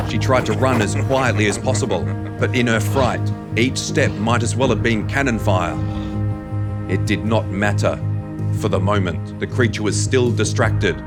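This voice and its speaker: A man reading a suspenseful story as a narrator, in a measured, dramatic scripted style. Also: mid-range voice. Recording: loud music under the narration.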